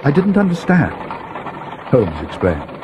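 An actor playing an earnest, loyal young friend of a detective, narrating a dramatic audio storybook. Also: male voice, medium pitch, huge pitch swings, measured pace, background noise. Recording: 1980s read-along cassette.

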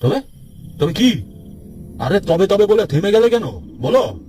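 A man playing an excitable, nervous young petty thief in a cartoon ghost story, whiny and blustering. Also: high-pitched, a little slow, soft background music. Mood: impatient. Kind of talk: cartoon horror story acting.

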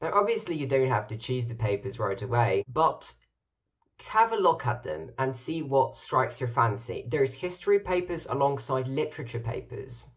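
A man's voice: mid-range voice, big pitch swings, normal speed. A young man from Ireland, a native Irish speaker, giving casual advice to camera as a student in his bedroom. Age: young adult. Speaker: student society officer. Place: bedroom with bookshelves, indoors.

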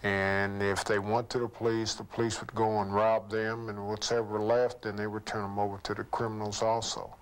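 A man's voice: fairly low pitch, little pitch movement, moderate pace, few pauses, low-quality recording. A man working as an undercover police investigator, answering interview questions in a plain, matter-of-fact way.

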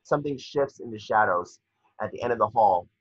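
A young man reads screenplay stage directions as narrator. His voice is fairly low and raspy. It is recorded dry and a bit muffled over a video call. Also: animated, brisk, dry recording.

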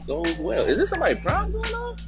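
A man's voice: extremely high pitch, wildly swooping pitch, quick pace. A young man with a Cleveland accent hosting a slangy, joking street interview outdoors at a beach park, speaking into a handheld phone mic. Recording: music and crowd behind.

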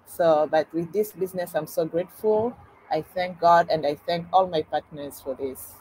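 Halting woman on a phone call. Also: lowish voice, darkish tone, slight background noise. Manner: simple, halting phrasing. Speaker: team member on a video call. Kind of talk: casual chat. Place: outdoors on a balcony. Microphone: phone video call.

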